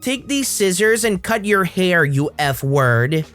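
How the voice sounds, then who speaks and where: A man's voice: high voice, sing-song, fairly bright tone, faint music behind, pitched above the performer's own voice, heavily acted. A man putting on a sneering, hostile entitled mother. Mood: contemptuous. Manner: sneering, drawn-out insults. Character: hostile bigoted entitled middle-aged mother. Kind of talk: acted quotes in a read-aloud story.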